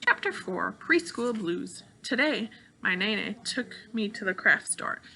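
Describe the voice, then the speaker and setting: A woman reading a children's novel aloud in an expressive storytelling style. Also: medium pitch, unhurried, creaky, poor audio. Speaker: audiobook reader of a children's novel.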